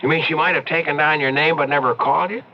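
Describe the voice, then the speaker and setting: A man's voice: fairly high pitch, steady tone, quick pace, close to an everyday voice. An actor playing a skeptical, plainspoken male investigator in an old radio mystery, casual and persistently questioning. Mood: persistent, doubtful. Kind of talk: old-time radio mystery drama acting. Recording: dull, noisy old radio drama recording.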